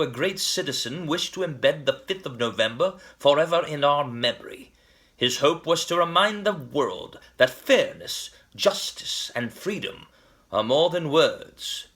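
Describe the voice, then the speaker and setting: An actor playing an eloquent, defiant vigilante revolutionary in a theatrical monologue, addressing the nation with deliberate, grandiloquent emphasis. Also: male voice, a little high, fairly bright tone.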